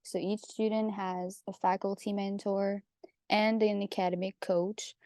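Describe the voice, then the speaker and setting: A young woman from Quebec whose first language is French, speaking English with a Quebec French accent in a chatty, relaxed way as a student panelist. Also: mid-range voice, a little slow, no room sound, studio quality. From Quebec, Canada. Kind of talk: casual panel talk.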